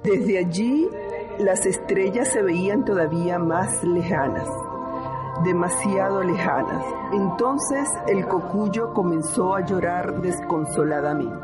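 A woman narrating a children's story in an amateur radio play, in a slow, careful storybook manner. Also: on the low side, expressive, over loud music.

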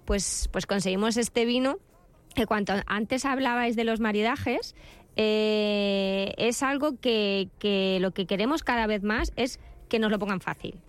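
A woman guest on a food radio show, speaking quickly and enthusiastically in casual chat, with restarts. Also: mid-range voice, smooth, dry recording. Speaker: winemaker guest.

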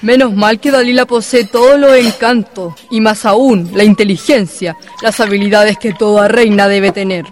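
A man's voice: high voice, steady tone, normal speed, hardly any pauses, soft background music, natural voice. An actor in a community radio drama playing a polite male guest who agrees with his host, with a Chilean accent.

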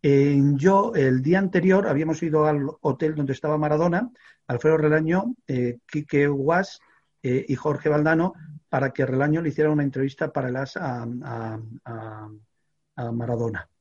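An older man from Extremadura, a veteran sports reporter, telling an animated story in a studio chat with many fillers and restarts. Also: fairly high pitch, normal speed, highs cut off. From Extremadura, Spain.

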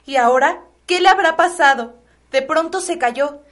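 A woman's voice: high-pitched, normal speed, a bit bright. A woman acting a doting, devout middle-aged mother in a radio soap opera, with a Mexican accent and a tender, melodramatic delivery. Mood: puzzled, uneasy.

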